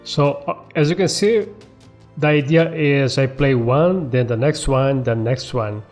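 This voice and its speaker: Unhurried man teaching code over music. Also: mid-range voice, darkish tone. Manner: checks in often with tag questions like okay and all right. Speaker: coding tutorial host. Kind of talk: casual step-by-step screen-recorded coding tutorial. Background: background music.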